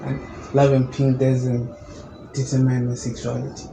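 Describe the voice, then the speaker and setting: A young man with a low voice, a musician answering interview questions in a calm, measured way. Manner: calm, measured, explains at length. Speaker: musician guest. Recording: echoey room with background noise.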